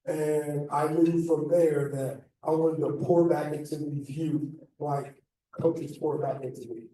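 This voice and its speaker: An American man in his thirties with a slightly raspy voice, giving an informal, halting motivational talk as a guest speaker. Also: fairly high pitch, steady tone, dark tone. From Illinois, United States. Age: 30s. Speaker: guest speaker, football coach. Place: echoey indoor meeting room. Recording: very echoey room, distant mic.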